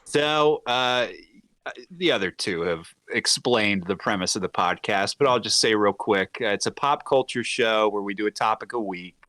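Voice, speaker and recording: A man's voice: lowish voice, moderate pace, fairly bright tone, no echo. A man chatting casually as a podcast guest, with a wry, jokey way of talking. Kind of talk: casual chat.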